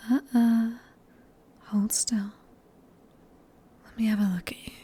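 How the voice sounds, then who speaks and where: Seductive female vampire, British, played by a woman for intimate ASMR audio; hushed, soft-toned, slow, teasing and sadistic under courtly manners. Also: a little high, flat delivery. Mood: admiring, seductive. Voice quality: breathy, largely whispered. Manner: slow, teasing, formally polite, coaxing. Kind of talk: ASMR roleplay acting, close and whispered.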